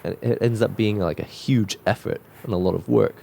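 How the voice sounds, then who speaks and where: A man with a cold-croaked voice talking casually and reflectively while drawing, musing with loose restarts. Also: fairly low pitch, brisk, dark tone. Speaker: art vlogger drawing on camera.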